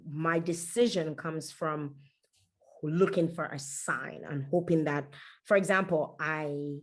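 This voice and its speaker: Low-voiced woman. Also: steady tone, takes it slowly. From Dominica. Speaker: podcast guest. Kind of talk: conversational interview.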